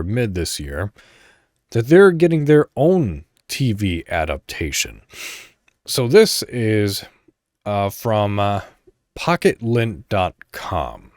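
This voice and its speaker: A man's voice: lowish voice, darkish tone, no room sound, studio quality. A man hosting a solo podcast, talking in an informal, conversational way with rambling asides and some reading aloud. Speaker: podcast host. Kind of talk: casual solo podcast commentary, partly reading articles aloud.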